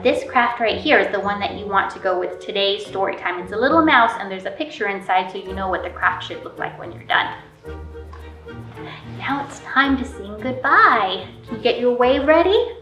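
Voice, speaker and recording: A woman's voice: mid-range voice, bright, forceful tone. A woman in her thirties hosting a library storytime, talking to small children in simple, encouraging language with questions and praise. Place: indoor library room with painted mural. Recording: roomy, distant camera pickup.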